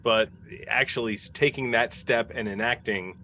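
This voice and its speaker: A man's voice: medium pitch, steady tone, moderate pace, bright, forceful tone. A man in a casual panel chat as a cast member, reflective and often correcting himself. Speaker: podcast cast member and role player. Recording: faint background noise.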